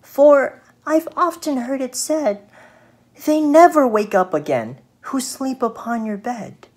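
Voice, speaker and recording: A woman's voice: high voice, expressive, slow pace, darkish tone, voice pushed up, heavily acted. A woman voicing a little female fly from a children's storybook, polite, dainty and wary. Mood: fearful refusal. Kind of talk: children's storybook read aloud.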